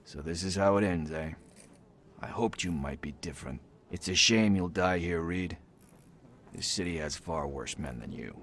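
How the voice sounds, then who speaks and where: A man playing a world-weary gang leader in a video game, calm, resigned and quietly threatening. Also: low voice, moderate pace. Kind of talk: video game cutscene acting.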